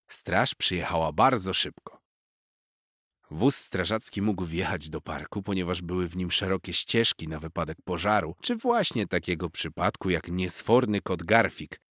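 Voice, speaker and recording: A man's voice: low voice, fairly bright tone, dry recording. A man narrating a children's story expressively from a script, close on the mic.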